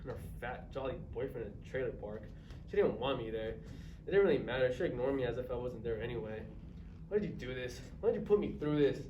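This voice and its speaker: A man's voice: medium pitch, lively intonation, fast, dull audio, lightly acted. A male drama student playing a bitter, closed-off, self-centred man in a staged reading. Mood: wounded, sad. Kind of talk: staged reader's theatre performance. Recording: distant echoey noisy live stage recording.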